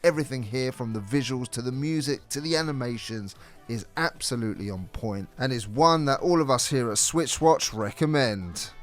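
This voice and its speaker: A man's voice: medium pitch, normal speed. Man narrating game reviews over music. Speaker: video game reviewer, co-host. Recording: music behind the voice. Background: background music.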